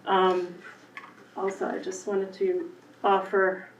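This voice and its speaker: A woman's voice: medium pitch, completely flat delivery, slow pace, slight background noise. A woman elementary Spanish teacher presenting conversationally at a school committee meeting, with frequent ums. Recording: echoey room, distant, muffled.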